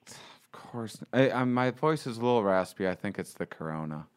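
A man playing a raspy bride-to-be in a prank call, rambling and flustered, using his own low voice. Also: expressive, normal speed, lightly acted. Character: bride-to-be, a woman in a man's voice.

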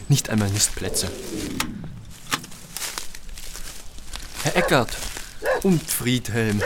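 An actor playing a pedantic, curious gentleman bird enthusiast, formal and scholarly, in a German audio drama. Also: medium pitch, very noisy, lightly acted. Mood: fascinated.

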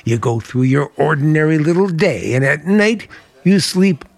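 An actor playing a charming but menacing uncle in a radio play, suave and deliberate with a bitter, contemptuous edge. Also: male voice, on the high side, animated, creaky, no room sound. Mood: cold scorn. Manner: suave, deliberate, turning to cold disdain. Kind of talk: dramatic radio play acting.

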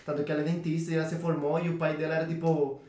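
A young Venezuelan man with Spanish as his first tongue chats rapidly as a guest, peppering his sentences with fillers. His voice sits a little high and races along. The room around him rings with echo. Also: flat delivery, warm tone, far from the mic. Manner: fast, chatty, full of fillers like "tipo" and "entendeu". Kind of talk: casual chat.

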